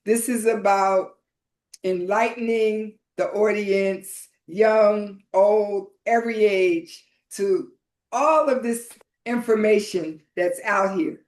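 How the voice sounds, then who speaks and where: A middle-aged or older woman hosting a podcast, talking informally and haltingly as she introduces and interviews a guest. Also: medium pitch, a little mellow. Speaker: podcast host.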